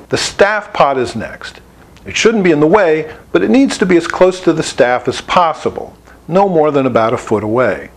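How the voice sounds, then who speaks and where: A man giving a scripted instructional narration, explaining each step plainly as an instructor. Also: on the high side. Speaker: instructor presenting an installation tutorial.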